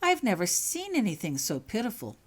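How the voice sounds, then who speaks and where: A woman's voice: medium pitch, huge pitch swings, brisk, husky, voice pushed up. A woman voicing a dreamy, eccentric woman professor of magic in a storybook reading, whimsical and earnest. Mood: earnest, wondering.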